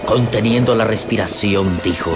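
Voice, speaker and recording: Dramatic male narrator over loud music. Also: fairly low pitch, normal speed. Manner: solemn, suspenseful, declamatory. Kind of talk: dramatic scripted radio serial narration. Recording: radio serial with loud music.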